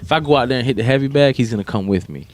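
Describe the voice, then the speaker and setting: Fast-talking man in casual podcast chat. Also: medium pitch, a little mellow. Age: adult, parent of school-age kids. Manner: emphatic, repeats himself for emphasis. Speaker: podcast co-host.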